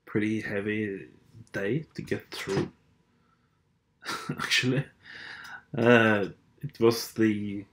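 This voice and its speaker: A man whose first language is Norwegian, hosting a podcast in a hesitant, blunt and sardonic monologue full of fillers and restarts. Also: lowish voice. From Norway. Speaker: podcast host. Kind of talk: casual monologue.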